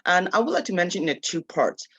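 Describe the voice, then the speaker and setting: A man's voice: sits high, very animated, a bit bright, slightly rough, no room sound, dull audio. Man, dry close sound. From Kachin State, Myanmar. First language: Kachin. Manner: halting, many fillers and restarts. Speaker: local journalist, panelist. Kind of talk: panel discussion answer.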